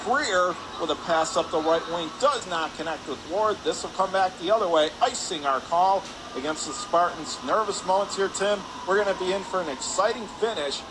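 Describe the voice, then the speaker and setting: A man calling live radio hockey play-by-play in clipped phrases from an ice rink. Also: high-pitched. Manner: clipped rapid phrases, rising on shots. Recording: clear background noise, arena ambience.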